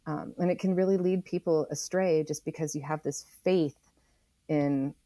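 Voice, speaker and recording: A woman being interviewed as a writer, explaining her ideas conversationally and thoughtfully, with deliberate, emphatic phrasing. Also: deep voice, steady tone, mellow, no room sound. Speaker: interview guest, environmental writer.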